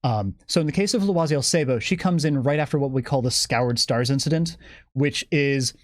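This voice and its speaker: A man's voice: mid-range voice, fairly quick, no room sound. Male game developer guest, conversational explainer, wry and keen, very dry close sound. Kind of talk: casual explanatory chat about a game.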